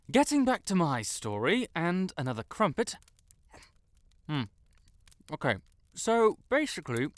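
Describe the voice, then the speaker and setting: A man playing a vain, stuck-up young wizard with a secret soft spot, pompous and theatrical, in a roleplay audio drama. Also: on the high side, no echo, exaggerated voice. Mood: smug. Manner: pompous, theatrical, haughty, flustered outbursts. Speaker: voice actor.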